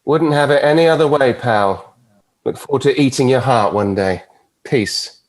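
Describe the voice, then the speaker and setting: An actor playing a suave, defiant devil, wry and theatrical, in a drama script read. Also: male voice, medium pitch, moderate pace, natural voice, poor audio. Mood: taunting.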